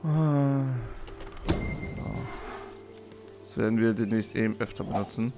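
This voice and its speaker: A man with a slightly hoarse voice giving casual game commentary as a let's play host, thinking aloud and repeating words. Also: on the low side, a little slow. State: hoarse from being under the weather. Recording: music behind the voice. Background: game music.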